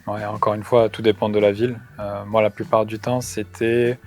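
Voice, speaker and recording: A man in his thirties from England, a guest in a casual discussion, talking hesitantly with many pauses and fillers. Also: on the low side, flat delivery. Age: 30s. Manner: hesitant, frequent "euh" fillers.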